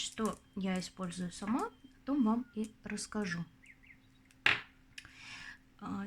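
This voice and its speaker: A young adult woman hosting her own channel as a tarot reader, chatting casually to her viewers, her voice going hoarse. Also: mid-range voice, darkish tone. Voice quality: husky. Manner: chatty, confiding, addresses viewers as girls. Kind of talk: casual advice talk to viewers.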